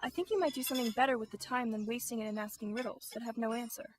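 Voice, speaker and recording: A prim, curious young girl, played by a woman, speaking quickly in a very high voice. She questions and corrects in an outspoken way, in a homemade audio play with faint noise. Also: fairly even intonation, natural voice. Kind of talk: amateur student audio drama.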